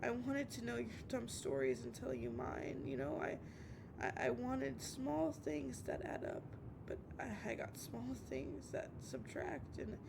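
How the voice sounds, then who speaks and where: Woman acting a halting, creaky-voiced heartbroken young woman. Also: expressive, exaggerated voice. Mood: sad, bitter. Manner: halting, stammering, repeating words. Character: obsessive, heartbroken young woman calling an on-off lover at night. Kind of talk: dramatic audio roleplay monologue. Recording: noisy with low rumble.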